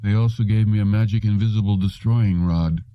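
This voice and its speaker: A man's voice: lowish voice, steady tone, brisk, clean tone, no echo, lightly acted. A man voicing a drugged, half-conscious man who mumbles in a dull, drowsy voice in an audiobook. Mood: drugged, dreamy.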